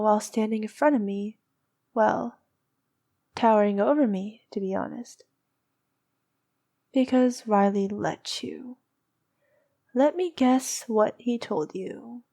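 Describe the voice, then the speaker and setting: Girl doing a regal vampire princess for asmr roleplay, real slow n mellow, soft and teasing, haughty but needy. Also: a little high. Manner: soft, regal, teasing, sometimes dropping to a whisper.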